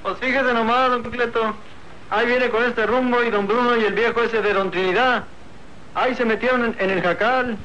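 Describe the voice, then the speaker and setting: A man playing an excitable, wily, timid rancher sidekick in a radio serial, with folksy northern Mexican talk. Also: extremely high pitch, normal speed. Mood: flustered. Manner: folksy, drawling rustic talk. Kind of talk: old radio serial acting. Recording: dull, noisy old radio recording.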